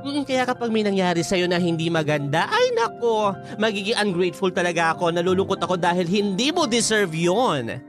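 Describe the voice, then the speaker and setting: A woman playing an outspoken, protective best friend in a radio drama, expressive, nagging and comforting with exasperated interjections. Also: lowish voice, brisk, music in the background. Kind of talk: Filipino radio drama dialogue.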